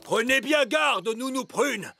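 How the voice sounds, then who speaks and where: A man's voice: very high-pitched, lively intonation, takes it slowly, gravelly, pushed and bright, no echo, clean studio sound, soft background music, heavily acted. A man playing an earnest, commanding, overdramatic elf in a French children's cartoon dub, very emphatic. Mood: grave warning.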